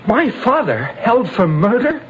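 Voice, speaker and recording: A man's voice: sits high, very animated, measured pace, lo-fi sound. An actor playing a nervous, evasive young man who stammers in agitation, in a 1940s radio drama. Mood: agitated. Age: young adult. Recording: hissy old radio broadcast.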